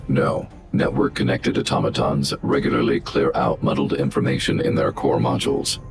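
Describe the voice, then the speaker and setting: An extremely low, gravel-edged robot guardian for a video game. The delivery is formal, calm and emotionless, like computed reasoning read out in measured phrases. Music plays clearly behind the voice. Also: male voice. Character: calm, logical robot guardian, large and fatherly. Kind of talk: scripted video game voice acting.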